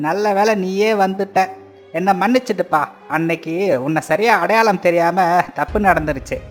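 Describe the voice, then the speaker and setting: A man's voice: high voice, moderate pace, faint music behind, lightly acted. A man voicing a deceitful, self-righteous village landlord in a cartoon moral story, with blustering delivery. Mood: oily, flattering. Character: greedy, deceitful village landlord, falsely honest and bullying.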